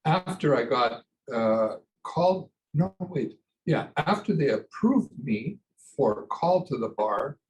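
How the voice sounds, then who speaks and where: A man's voice: mid-range voice, a little mellow, rough recording. An older man, an immigration lawyer, chatting as a guest and telling anecdotes with many fillers and restarts. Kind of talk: casual interview storytelling.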